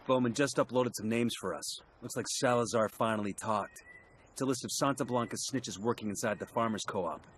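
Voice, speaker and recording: An actor playing a tough, dry, businesslike special forces soldier in a video game, clipped and commanding. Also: male voice, mid-range voice, fairly quick, slight background noise, lightly acted. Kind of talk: video game cutscene acting. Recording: music behind.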